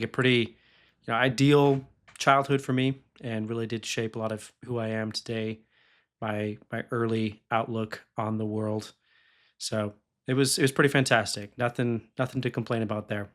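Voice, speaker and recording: A man co-hosts a podcast in casual conversation. He answers in a friendly, thoughtful way and keeps a measured tone. His voice sits at a middle pitch. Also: fairly bright tone. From Kansas City, Missouri, USA. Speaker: resident scholar, co-host.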